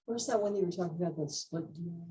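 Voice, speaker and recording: A woman's voice: lowish voice, quick pace, warm tone, a little hiss or hum. A woman helping out in a class, chatting casually from a distance to the microphone. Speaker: helper in the class. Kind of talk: casual chat.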